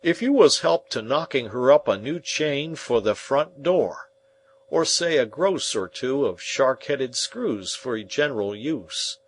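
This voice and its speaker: A man voicing a slow, kindly working-class blacksmith, speaking with rustic grammar in a ponderous, emphatic way. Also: mid-range voice, a little hiss or hum, voice pushed up, natural voice.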